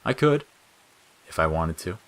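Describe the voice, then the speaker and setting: A man playing a gentle, tired young boy in an audio story, calm and terse. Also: low-pitched, a little slow, exaggerated voice. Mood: earnest, tired. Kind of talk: audio fiction character acting.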